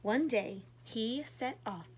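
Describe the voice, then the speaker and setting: A woman doing an animated read-aloud for young children as a storytime reader. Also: a little high, slow, a little hiss or hum.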